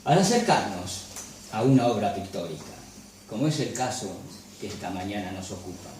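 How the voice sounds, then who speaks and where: A man reads a dense academic lecture in a measured, formal tone. His voice sits in an ordinary middle pitch. It is picked up from some distance and rings through a strongly reverberant hall. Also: a little mellow, a little hiss or hum. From Galicia. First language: Galician. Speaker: lecturer and photographer. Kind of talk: reading a prepared academic lecture.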